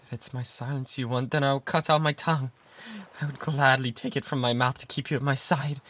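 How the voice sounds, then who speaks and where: An obsessive pirate in love, played by a man, speaks with a British accent at a medium pitch. He is breathy and theatrical, pleading with tender devotion and slipping into whispers. It is an intimate ASMR roleplay on a close binaural microphone, with faint ambient noise behind him. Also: steady tone, brisk, fairly bright tone, close to an everyday voice. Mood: desperate pleading.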